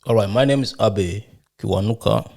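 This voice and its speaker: A middle-aged man from Uganda answering interview questions about himself, speaking unhurriedly with frequent pauses and fillers. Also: on the low side, creaky, darkish tone. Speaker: guest, charity founder.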